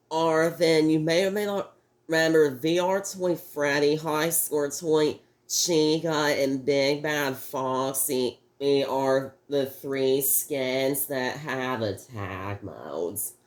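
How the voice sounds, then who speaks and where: Slow-talking male reviewer, sick and slightly off-sounding, rambling and halting. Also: a little high, fairly even intonation. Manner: halting, rambling, frequent restarts. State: sick, voice a little off. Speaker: toy review host. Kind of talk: casual video review.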